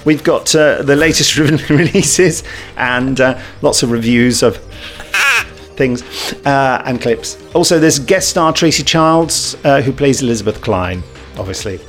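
A man's voice: medium pitch, measured pace. Hesitant, jokey British man over music. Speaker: podcast host. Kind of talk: casual unscripted podcast chat.

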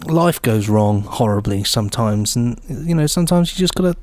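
A man's voice: mid-range voice, animated, moderate pace, darkish tone, no room sound. A man co-hosting a podcast in a casual, chatty style, jokey and rambling. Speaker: podcast co-host.

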